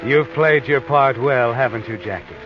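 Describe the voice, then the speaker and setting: An actor playing an omniscient, sinister narrator of a 1940s radio mystery, insinuating and knowing, with dramatic pauses. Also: male voice, medium pitch, over music, natural voice. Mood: sly, ominous. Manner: insinuating second-person taunting, dramatic pauses. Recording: muffled vintage radio recording.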